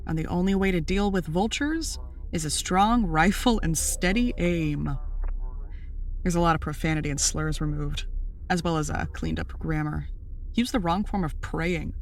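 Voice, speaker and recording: An actor playing a deadpan, dry podcast sidekick in casual audio drama banter. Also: medium pitch, moderate pace, soft background music, close to an everyday voice. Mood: amused. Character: deadpan podcast sidekick, reluctant and dryly amused.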